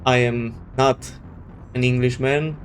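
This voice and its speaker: A man's voice: mid-range voice, fairly even intonation, slow, a little hiss or hum. A man from Romania with a Romanian accent, a native Romanian speaker doing casual, hesitant let's play commentary. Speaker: gaming channel host.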